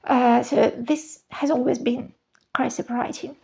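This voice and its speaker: A woman speaks in a high voice with a gravelly edge. She talks unhurriedly in a casual vlog, choosing each word with care. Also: fairly even intonation, dark tone. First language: Russian. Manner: slow, careful, searching for words. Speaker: vlogger, former university teacher. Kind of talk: casual show-and-tell vlog.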